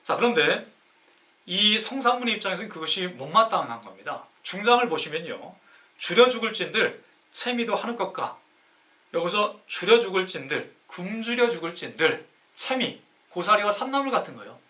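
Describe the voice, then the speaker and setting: A man's voice: sits high, big pitch swings, moderate pace, pushed and bright. A man hosting a live-streamed lecture in an emphatic, didactic way, asking rhetorical questions and answering them himself, with a sore throat. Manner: emphatic, stretches key words. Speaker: live-stream host, city councilor. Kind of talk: live-streamed lecture explaining classical poetry.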